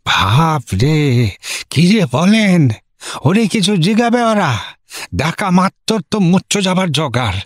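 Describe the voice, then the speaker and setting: A man's voice: high-pitched, sing-song, no room sound, clean studio sound, heavily acted. An actor playing a simple, rambling, deferential village man in a comic radio drama, with a rural West Bengal accent and a hesitant, roundabout manner. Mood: matter-of-fact. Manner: roundabout, hesitant, drawn-out rustic talk. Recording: music behind the voice.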